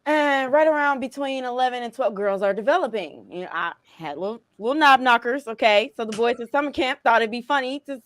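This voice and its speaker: A profane, animated woman in her late thirties from Chicago tells a story on a casual podcast. Her voice sits a little high, and the sound is close and dry, with no room around it. She talks in a loose, conversational way. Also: fairly quick, few pauses, dry recording. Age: 30s. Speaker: podcast co-host. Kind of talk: casual chat.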